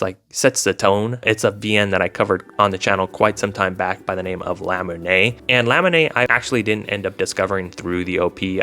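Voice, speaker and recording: A man's voice: deep voice, vocal fry, bright, forceful tone. A man chatting casually as a video host, rambling along in an informal, filler-heavy way. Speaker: YouTube host discussing visual novels.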